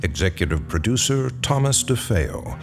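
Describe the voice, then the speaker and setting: A man's voice: lowish voice, very animated, normal speed, over music. A man reading scripted credits and announcements as a radio show announcer.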